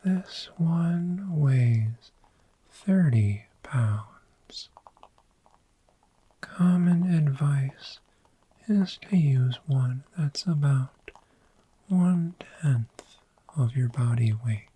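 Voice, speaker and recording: A performer voicing a gentle, friendly dragon in human form for a sleep ASMR roleplay, in a soft breathy whisper with unhurried, soothing pauses. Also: medium pitch, lively intonation, exaggerated voice, low-quality recording. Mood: soothing, informative.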